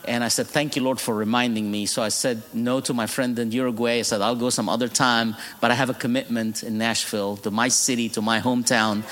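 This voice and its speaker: Egyptian-accented preacher in a reverberant hall. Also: male voice, medium pitch, flat delivery, fairly quick, hardly any pauses, fairly bright tone. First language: Arabic. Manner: humorous storytelling, laughing at his own jokes. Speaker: guest preacher. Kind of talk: sermon testimony. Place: church.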